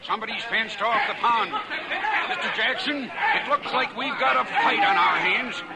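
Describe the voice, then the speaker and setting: A man's voice: very high-pitched, moderate pace, gravelly, over a noisy crowd. An actor playing a worried, folksy male trail-drive cowhand in a 1950s radio western. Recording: very noisy 1950s radio recording.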